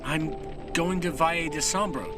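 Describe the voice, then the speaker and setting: An actor playing an anxious traveler in an audio drama, questioning nervously and insistently. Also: male voice, fairly high pitch, moderate pace, distant mic, close to an everyday voice. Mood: worried. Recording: off-mic voice with music behind.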